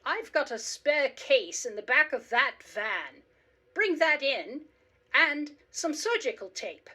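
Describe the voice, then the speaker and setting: A woman's voice: high voice, sing-song, slow, sharp tone, exaggerated voice. A woman playing a brash, determined, reckless woman zookeeper in a radio play, with an emphatic, theatrical delivery. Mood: brash, confident.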